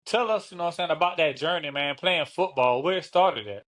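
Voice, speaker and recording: A man hosting a casual interview show, talking in a relaxed, easygoing way and often dropping "man" and "you know what I'm saying". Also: fairly high pitch, brisk. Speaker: podcast host.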